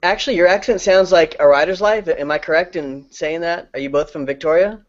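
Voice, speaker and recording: A man's voice: fairly high pitch, a little fast. A man hosting a live online chat with viewers and guests, laughing often and joking. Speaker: livestream host. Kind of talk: casual group chat. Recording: dull lo-fi online call audio.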